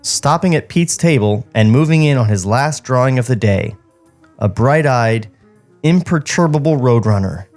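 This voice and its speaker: A man's voice: mid-range voice, lively intonation, moderate pace, darkish tone, soft background music. A man co-hosting a podcast, reading stage directions aloud in a table read with joking asides.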